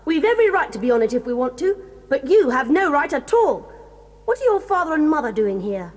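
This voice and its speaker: A woman's voice: high-pitched, big pitch swings, brisk, darkish tone, big-room sound. A woman voicing a bold, defiant tomboy girl in a 1980s audio drama. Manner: spirited, defiant.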